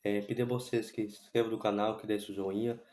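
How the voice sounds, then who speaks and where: A man, hoarse from a cold and sore throat, giving casual football commentary and rambling with many restarts. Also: lowish voice, level tone, fast talker, echoey room, noisy background. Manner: rambling, repeats himself with many restarts and fillers. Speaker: football fan channel host.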